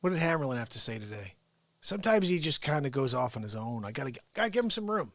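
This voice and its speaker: A man's voice: mid-range voice, sing-song, fast talker, a bit bright, no room sound. A man hosting an unscripted livestream, talking in a rambling, insistent and repetitive way. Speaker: livestream show host.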